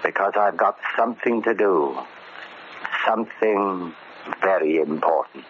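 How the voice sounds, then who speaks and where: An actor playing a bitter, stubborn middle-aged convict with an American accent and a grim, deliberate delivery, in old-time radio drama style. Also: male voice, sits low. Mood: grimly resolved. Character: bitter, resolute 44-year-old convict bent on settling a debt. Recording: dull, noisy old radio recording.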